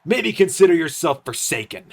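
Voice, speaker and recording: A man's voice: a little high, sing-song, normal speed, gravelly, exaggerated voice. A man playing an indignant, hot-tempered wrestler in a video game story, scornful and emphatic. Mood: indignant, furious.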